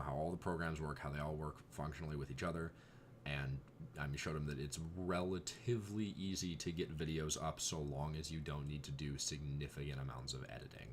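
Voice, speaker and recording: A young man vlogging in casual chat, rambling with exasperated asides, his voice going a bit hoarse. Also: a bass voice, a little hiss or hum.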